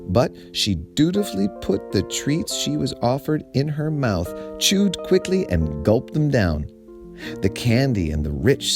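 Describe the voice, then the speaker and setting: A man reading a humorous story aloud in an expressive, theatrical way with deliberate phrasing. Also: medium pitch.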